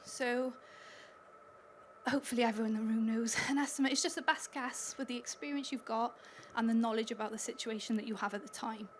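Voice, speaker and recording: Welsh woman, conference speaker, slightly higher pitch, upbeat and chatty, light room ambience. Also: steady tone, a little fast, a little hiss or hum. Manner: upbeat, chatty, laughs between points. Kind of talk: conference talk. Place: conference hall stage.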